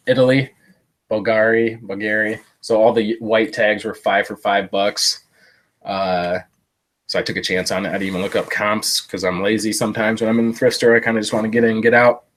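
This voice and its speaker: This is a man whose voice sits a little low and sounds stuffy and blocked up from a cold. He chats casually to a live-stream audience, meandering and padding his sentences with fillers and offhand self-mocking asides. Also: fairly even intonation, moderate pace, fairly bright tone. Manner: rambling, lots of "uh" fillers, self-deprecating jokes. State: stuffy, congested. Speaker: thrift reseller streaming a haul. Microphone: live-stream setup.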